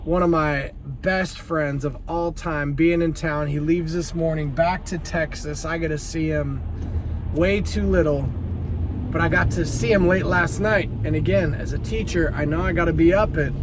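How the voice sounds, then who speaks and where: A man in his late thirties, a teacher, talking earnestly and rambling a little in a casual motivational vlog from his car. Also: on the high side. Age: late 30s. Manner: rambling, earnest, self-interrupting. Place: car while driving. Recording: car noise in the background. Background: traffic noise.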